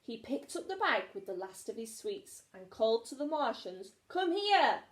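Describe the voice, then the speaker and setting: A woman reads a children's story like a teacher, expressively. Her voice is fairly high and very animated. The room around her is noticeably echoey. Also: normal speed.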